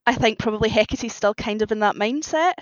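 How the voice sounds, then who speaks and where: A woman's voice: fairly high pitch, a little fast, dry recording. A woman talking casually as a guest writer on a podcast, with slightly dull, lo-fi sound. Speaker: guest author on a fan fiction podcast.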